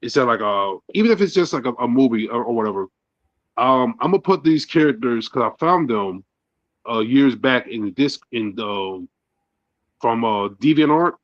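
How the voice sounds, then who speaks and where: A man's voice: mid-range voice, lively intonation, normal speed, no echo, highs cut off. A man in his thirties chatting casually as a podcast co-host, rambling with many fillers and restarts. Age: 30s. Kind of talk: casual chat.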